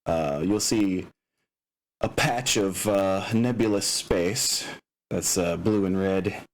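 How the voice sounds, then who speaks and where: A man talking casually and haltingly as game master, explaining the setup of a tabletop game session. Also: sits low. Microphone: open microphone picking up room sounds.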